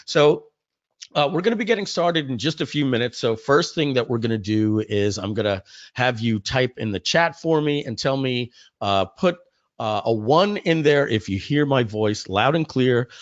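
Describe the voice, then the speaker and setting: Low-voiced, slightly hoarse man hosting a webinar. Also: expressive, normal speed, no echo. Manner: chatty, informal, addressing the audience directly. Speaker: company founder hosting a webinar. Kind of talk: casual live webinar chat. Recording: muffled, close dry webinar audio.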